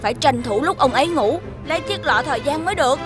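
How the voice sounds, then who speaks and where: An actress voicing a small, loyal magical friend in a children's cartoon, childlike and earnest. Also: female voice, sits high, animated, quick pace, music in the background. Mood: nervous.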